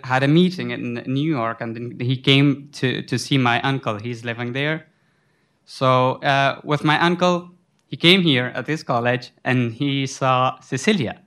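A man's voice: mid-range voice, measured pace, a bit bright, clear, resonant tone. A young man from Afghanistan, a student on a panel, telling a long rambling story full of restarts. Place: college library.

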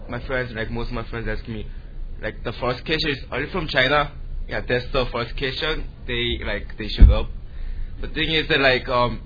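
A young man from South Korea with a Korean accent, answering informally on a student panel in a halting, rambling way with many filler words. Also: mid-range voice. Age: young adult. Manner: rambling, heavy use of "like", halting. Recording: dull lo-fi room recording, faint noise.

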